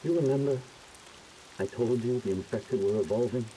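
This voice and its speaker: An actor playing a stern, defensive military officer in a video game, speaking in a clipped, forceful way. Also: male voice, mid-range voice, moderate pace, a little hiss or hum, lightly acted, poor audio. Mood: earnest, grave. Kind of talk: dramatic video game acting.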